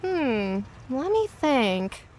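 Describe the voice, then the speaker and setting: High-pitched, blunt gunsmith. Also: female voice, very slow, slight background noise, exaggerated voice. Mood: matter-of-fact. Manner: blunt, expressive. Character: blunt, businesslike young female gunsmith and shopkeeper. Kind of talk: video game character acting.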